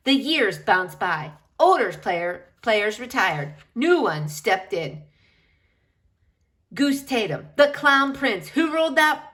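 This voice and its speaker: A woman reads a picture book aloud in a bright, fairly high voice. Her delivery is unhurried and theatrical, leaning hard on important words and drawing them out for young listeners. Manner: emphatic, dramatic phrasing with drawn-out key words. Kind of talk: expressive children's picture book read-aloud.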